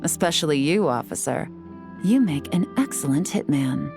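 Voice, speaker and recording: A woman's voice: low-pitched, sing-song, moderate pace, over music. A woman voicing a cool, shrewd intelligence operative in a video game, composed, wry and in command. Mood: wry, satisfied.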